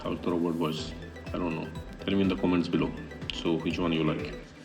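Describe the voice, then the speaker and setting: A man's voice: low-pitched, flat delivery, a little fast, gravelly, far from the mic, over music. A man narrating a casual how-to tutorial as a vlogger. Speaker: vlogger giving a phone app tutorial.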